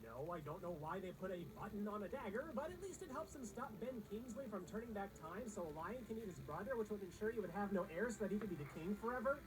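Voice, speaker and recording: Snarky woman with swooping, lively delivery. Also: medium pitch, fast talker, few pauses, noisy background. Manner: sarcastic, snarky. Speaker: countdown list narrator. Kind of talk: scripted comedic countdown narration.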